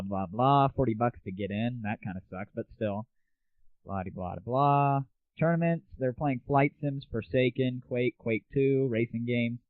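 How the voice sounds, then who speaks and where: A man's voice: mid-range voice, no room sound. A man hosting an online gaming show in a casual, irreverent monologue, with a cheeky, slangy and crude way of talking. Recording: tinny, narrowband phone-like audio.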